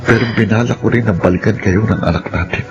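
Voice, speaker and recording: A man's voice: lowish voice, fast talker, natural voice. An actor playing a remorseful, earnestly pleading lover and father in a Filipino radio drama. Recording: dull radio recording, music bed.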